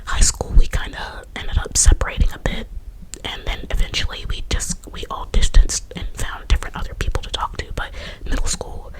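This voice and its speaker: A woman's voice: normal speed, rough recording. A young adult woman whispering a casual personal story to her viewers, chatty and full of fillers. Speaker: vlogger.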